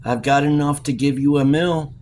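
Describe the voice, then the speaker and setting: A man voicing a gruff, kindly old male bookseller in an audiobook, blunt and avuncular. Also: fairly high pitch, fairly even intonation, normal speed, darkish tone, a little hiss or hum, voice pushed up, close to an everyday voice. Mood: insistent, gruff.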